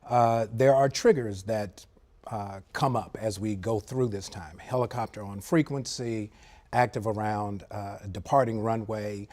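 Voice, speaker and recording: A man, a former air traffic controller, giving a measured, analytical explanation as a guest in a TV studio, with frequent fillers. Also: fairly low pitch, fairly even intonation, a little mellow. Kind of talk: live TV interview.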